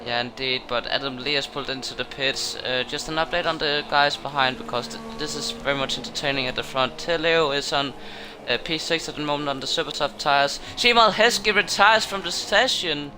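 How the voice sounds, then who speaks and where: A man's voice: a little high, a little fast. A man commentating a racing game league, his voice jumping up when incidents happen. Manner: excitable, rises sharply on incidents. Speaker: race commentator. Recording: noisy game audio behind. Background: racing game engine noise.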